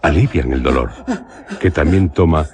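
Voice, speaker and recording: An actor playing a melancholic traveller narrating a literary radio drama, solemn and measured, with a Castilian accent. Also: male voice, extremely low pitch, crowd noise. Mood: solemn, contemplative. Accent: Castilian Spanish. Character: solemn, melancholic male traveller recounting an eerie journey.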